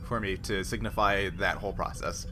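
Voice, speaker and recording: A man's voice: low-pitched, steady tone, brisk, over music. A man acting as game master, narrating a tabletop role-playing game in an informal, conversational way. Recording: faint background noise.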